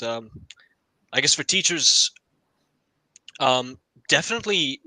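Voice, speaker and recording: Bright-voiced hesitant young man. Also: mid-range voice, no room sound, rough recording. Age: young adult. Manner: hesitant, many fillers and restarts. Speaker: college student panelist. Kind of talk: casual podcast panel answer.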